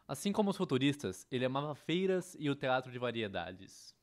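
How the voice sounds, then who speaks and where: A man's voice: mid-range voice, big pitch swings, brisk. A man with a Brazilian accent presenting an educational course in scripted narration. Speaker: educational presenter dubbing a theatre history course.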